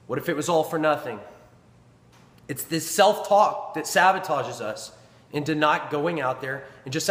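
A man's voice: a little high, fairly bright tone. Earnest man in an echoey gym. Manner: earnest, emphatic, repeats phrases for stress. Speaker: personal trainer and gym owner. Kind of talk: motivational talk to camera. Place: small indoor gym. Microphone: camera in front at close range. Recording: echoey gym with long reverb.

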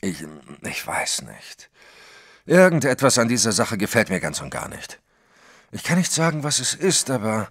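An actor playing a wary, plain-spoken private detective in a German audio drama, firm and sceptical. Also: male voice, medium pitch, sing-song, moderate pace, fairly bright tone. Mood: uneasy.

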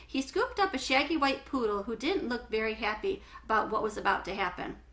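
A middle-aged woman narrates a children's book in a bright, forward voice that sits a little high. She phrases things clearly and tells the story in an animated, expressive way. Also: fairly quick. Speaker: read-aloud storyteller. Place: small home living room, indoors.

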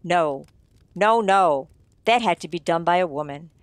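A woman playing a shrewd writer-sleuth who explains things theatrically and deliberately in an old-time radio mystery. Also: on the low side, no room sound, close to an everyday voice. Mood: smugly accusing.

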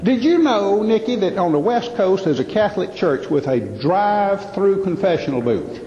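A Southern American man performs folksy comic storytelling on stage in a high-pitched voice. He pauses and stretches out his punchlines. The sound is muffled and rings with the long echo of a big hall. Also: very animated, dark tone. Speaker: professional humorous speaker. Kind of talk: stand-up storytelling to a live audience. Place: large indoor hall with a live audience. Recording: muffled, echoey hall PA with some noise.

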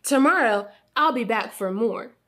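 A woman's voice: a little high, animated, a little slow, pushed and bright. A woman voicing a polite, cheerful young male squirrel in a storybook read-aloud, with an earnest manner.

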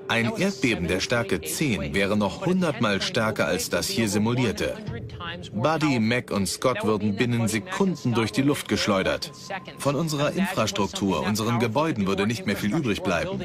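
A man giving wry, conversational commentary in a dubbed documentary, with a teasing manner. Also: on the low side.

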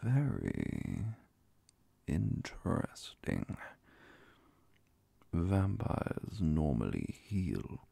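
A man voicing a calm, formal vampire inquisitor in a soft-spoken, whispered ASMR roleplay, questioning in a measured, deliberate way. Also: low voice, creaky, slightly rough, no room sound, full character voice. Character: calm, formal male vampire inquisitor, centuries-old authority.

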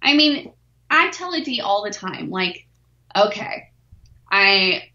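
A woman's voice: mid-range voice, sing-song, slow, bright, forceful tone, echoey room, muffled recording. A forty-year-old woman, a weightlifter, talking openly and self-deprecatingly as a podcast guest, laughing easily. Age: 40s. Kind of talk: candid podcast interview.

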